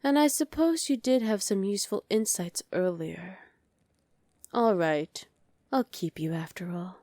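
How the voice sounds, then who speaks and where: A woman's voice: mid-range voice, animated, unhurried, dark tone, dry recording, clean studio sound. A woman voicing a dominant, haughty evil queen in an ASMR roleplay, imperious, sly and condescending. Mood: intrigued, scheming.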